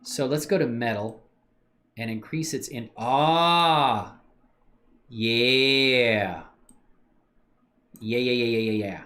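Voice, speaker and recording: A man's voice: medium pitch, takes it slowly, pauses often. A man livestreaming his 3D modeling work, thinking aloud in a casual, slangy way and answering questions from chat. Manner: relaxed slangy talk with many fillers.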